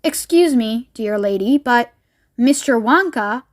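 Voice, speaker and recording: Woman playing haughty mother, storybook, high-pitched, indignant, formal, contradicting. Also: expressive, slow.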